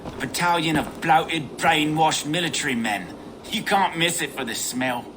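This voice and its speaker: An actor playing a sly older bandit gang boss, theatrical and taunting with false geniality, in a video game cutscene. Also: male voice, fairly high pitch, moderate pace, background noise, close to an everyday voice. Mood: sly bargaining.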